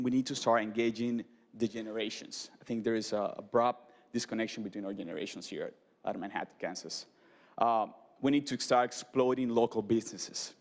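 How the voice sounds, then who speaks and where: Hesitant Colombian man presenting in a hall. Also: medium pitch. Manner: halting, many fillers and restarts. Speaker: urban design presenter. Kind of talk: presentation to an audience. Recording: reverberant hall.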